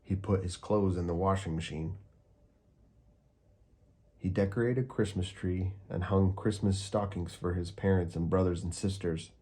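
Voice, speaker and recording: A man reading a children's picture book aloud with calm, steady storytelling. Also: deep voice, normal speed, breathy edge. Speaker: storybook reader.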